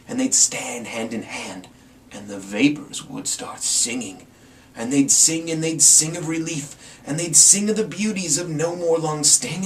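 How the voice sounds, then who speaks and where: A man giving a playful, theatrical dramatic reading of a rhyming Christmas story as the storyteller. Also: mid-range voice. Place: room with a green screen. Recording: distant mic, some background noise.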